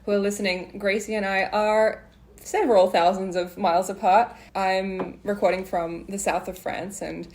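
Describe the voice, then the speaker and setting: A woman with an average-pitched voice hosts an informal interview. She talks in a conversational, supportive way, agreeing with her guest and building on the points made. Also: little pitch movement, normal speed, darkish tone, a little hiss or hum. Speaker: podcast host.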